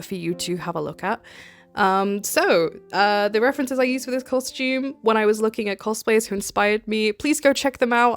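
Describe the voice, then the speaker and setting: Chatty woman over background music. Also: mid-range voice. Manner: gushing, self-deprecating, informal. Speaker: cosplay YouTuber and host. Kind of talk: casual, chatty craft video voice-over.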